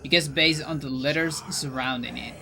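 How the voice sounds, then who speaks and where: Chilean-accented male streamer, native Spanish speaker, crisp and bright, chatty, restarts his sentences often. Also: a little high, fairly even intonation, normal speed, slight background noise. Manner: chatty, musing aloud, frequent restarts. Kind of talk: casual chat with viewers while gaming.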